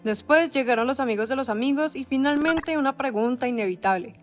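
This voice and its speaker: A woman narrating a documentary script in a measured, dramatic storytelling cadence. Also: high-pitched, soft background music.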